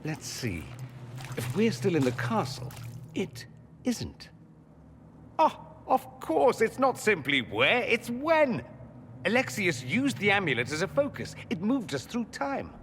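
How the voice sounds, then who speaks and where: A man's voice: high voice, wildly swooping pitch, unhurried, a bit bright, long reverb tail, slight background noise, heavily acted. An actor playing a witty, flamboyant male mage in a video game, with a wry, theatrical and articulate delivery. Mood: intrigued. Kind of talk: video game character acting.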